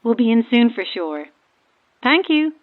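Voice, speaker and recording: A woman's voice: on the high side, big pitch swings, a little slow, narrow, tinny audio. An actress playing a polite woman calling from a pleasure cruiser over marine radio in an audio drama. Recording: noisy, crackly boat radio transmission.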